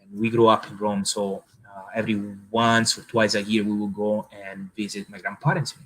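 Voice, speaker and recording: A man in his twenties with an Italian accent, telling his life story as a guest in a casual interview, with many pauses and fillers. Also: fairly low pitch, level tone, rough recording. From Italy. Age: 20s. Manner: hesitant, many fillers and restarts. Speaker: podcast guest, entrepreneur.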